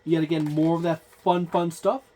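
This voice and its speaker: A man's voice: a little high, steady tone, moderate pace, warm tone, a little hiss or hum. A man giving an unscripted review of a tabletop game book, meandering and thinking aloud as he goes.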